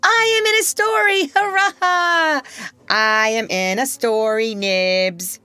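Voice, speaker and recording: Woman putting on a squealy excited little boy, really high, super eager. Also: slow, no echo.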